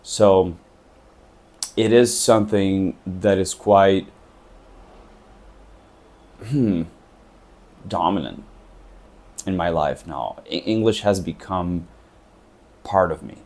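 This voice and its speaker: A man from Brazil speaks English with a Portuguese accent in a thoughtful, unhurried vlog. His voice sits fairly low and sounds dark and mellow. He stops now and then to look for the right word. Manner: thoughtful, searching for words. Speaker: English teacher and traveler. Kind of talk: reflective vlog talk.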